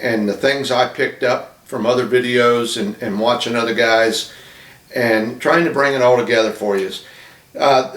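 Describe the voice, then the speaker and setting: A man's voice: medium pitch, fairly bright tone. Hobbyist man talking to camera in his apartment, loose and rambling, heard from a distance. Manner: rambling, many fillers and restarts. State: losing his voice, coughing. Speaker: model ship builder. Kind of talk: casual talk to camera. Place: small apartment room. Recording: echoey room from off-mic.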